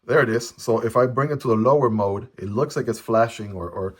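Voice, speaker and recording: Chatty low-voiced man reviewing gear. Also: a little fast. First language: Chinese. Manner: chatty, frequent "right?" tag questions. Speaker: product reviewer. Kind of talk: casual unboxing and review. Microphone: phone camera.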